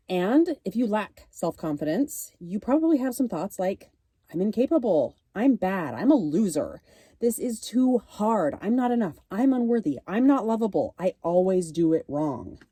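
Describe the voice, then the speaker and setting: A woman's voice: medium pitch, dark tone, no echo, studio quality. A woman podcast host and confidence coach with a cold, giving an earnest, encouraging solo talk. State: has a cold.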